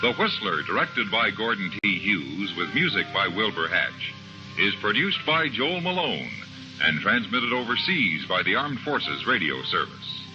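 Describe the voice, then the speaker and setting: Old radio announcer guy reading credits, low lively voice, muffled, music behind. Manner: formal, measured announcing. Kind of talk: 1950s broadcast credits announcement.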